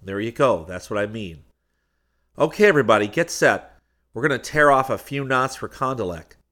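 A man's voice: lowish voice, lively intonation, moderate pace, lightly acted. A man voicing a confident, plain-spoken adventurer in an audiobook, with a wry, folksy manner. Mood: brisk, commanding. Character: confident, breezy adventurer man who explains things.

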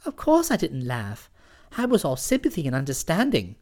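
This is a breezy young schemer from a storybook, voiced by a woman reading aloud. She talks in a lowish register with lively swings of pitch. She is teasing and matter-of-fact, never flustered. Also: normal speed.

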